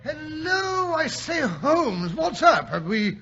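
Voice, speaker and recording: An actor playing a genial, fussy English gentleman storyteller in a 1940s radio drama, bumbling and chatty with little exclamations. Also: very high-pitched, very animated, takes it slowly, creaky, faint music behind, full character voice. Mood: curious, teasing. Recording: dull 1940s radio recording.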